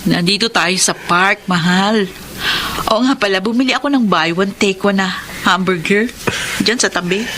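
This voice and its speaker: An actress with a Filipino accent playing a devoted, reassuring wife in a radio drama, with a sincere, gently emphatic delivery. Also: female voice, mid-range voice, normal speed, background noise, natural voice. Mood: loving, reassuring. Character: devoted, reassuring woman in love, later caring wife. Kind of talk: Filipino radio drama acting and letter narration. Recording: music under the voice.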